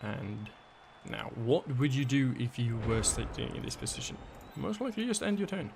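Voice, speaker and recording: A male caster calls a live video game match in a raspy, worn-out voice with a gravelly edge. He walks through each play out loud, weighing options as he goes. A faint noise sits under the recording. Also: medium pitch, big pitch swings, normal speed. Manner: analytical, thinking aloud, thanks viewers. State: hoarse.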